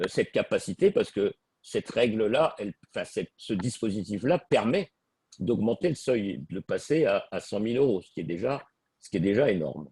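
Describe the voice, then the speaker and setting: A man's voice: fairly low pitch, animated, moderate pace, warm tone, breathy edge, no echo. A man speaking as a guest in a webinar, hesitating and repeating words as he explains. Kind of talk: webinar presentation.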